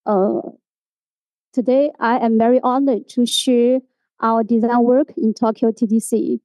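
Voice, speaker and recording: High, mellow Chinese-accented woman presenting. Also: fairly even intonation, measured pace, no echo, poor audio. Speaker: graphic designer giving a presentation. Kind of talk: prepared conference presentation.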